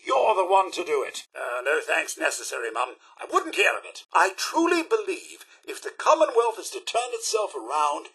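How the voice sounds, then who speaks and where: Male robot butler, British accent, raspy edge, very animated intonation, polite, fretful, devoted, theatrical game dialogue. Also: sits high, moderate pace, hardly any pauses, full character voice. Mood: earnest, devoted. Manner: formal, polite, theatrical and deferential. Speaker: robot servant companion. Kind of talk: video game character dialogue.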